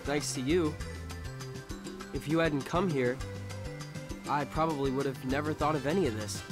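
A man's voice: fairly high pitch, normal speed, music in the background, lightly acted. Restless, cool teenage boy, played by an actor. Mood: earnest. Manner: cool, earnest, musing. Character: restless, confident, philosophical teenage island boy. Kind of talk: video game cutscene acting.

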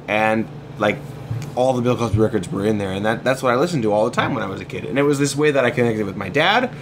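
A man's voice: mid-range voice, background noise. A man talking casually as a podcast guest, rambling with many false starts. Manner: rambling, hesitant, many restarts. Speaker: podcast guest, comics artist. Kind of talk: casual chat.

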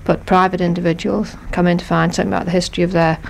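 A woman speaking as a museum guest in a 1970s radio interview, agreeable and measured. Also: lowish voice, level tone, vocal fry. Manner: agreeable, measured, murmurs often. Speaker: museum library staff guest. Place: radio studio. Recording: faint hiss on 1970s radio tape.

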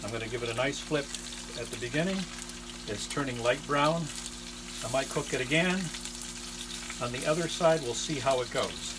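An older man in his sixties hosts a casual cooking video from a small trailer kitchen. His voice sits at a medium pitch and he talks haltingly, pausing mid-sentence. Clear frying and kitchen noise runs behind him. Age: 60s. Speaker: home cook and cooking show host. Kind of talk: casual cooking demonstration talk.

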